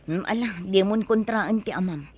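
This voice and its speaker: A woman's voice: mid-range voice, moderate pace, close to an everyday voice. A woman voicing a worried middle-aged wife and mother in an audio drama, pleading and anxious. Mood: soothing. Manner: pleading, anxious, soothing to her son.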